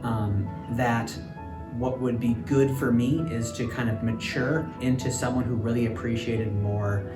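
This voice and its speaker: A man's voice: mid-range voice, steady tone, a little slow. Thoughtful man in his thirties, distant mic. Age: 30s. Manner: hesitant, thoughtful, frequent ums. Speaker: interviewee. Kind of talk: reflective interview answers. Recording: roomy, distant mic, music underneath.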